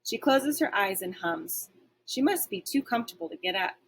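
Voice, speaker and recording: A woman's voice: mid-range voice, expressive, normal speed, husky. A woman reading a children's story aloud like a teacher, expressively, with dramatic and varied emphasis.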